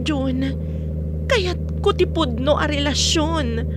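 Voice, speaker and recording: A woman's voice: high-pitched, takes it slowly, heavy background noise. An actress playing a headstrong, hurt young woman in a radio drama. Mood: hurt, insistent.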